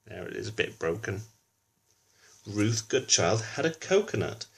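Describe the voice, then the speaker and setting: A man's voice: fairly low pitch, big pitch swings, measured pace, creaky, husky. A man reading a children's book aloud, narrating with chatty asides addressed to young listeners.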